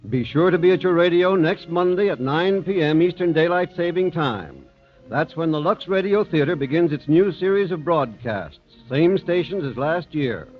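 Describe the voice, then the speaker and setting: An American man giving a formal promotional announcement as a network announcer in 1930s radio style. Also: a little high. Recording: tinny narrow-band 1930s radio recording. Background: faint music.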